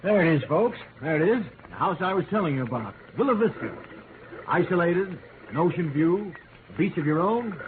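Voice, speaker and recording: High-voiced folksy real estate salesman. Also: animated, moderate pace. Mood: enthusiastic pitch. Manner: folksy, effusive sales patter. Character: eager small-town real estate salesman showing a house. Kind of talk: old-time radio drama acting. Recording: dull, noisy vintage radio recording.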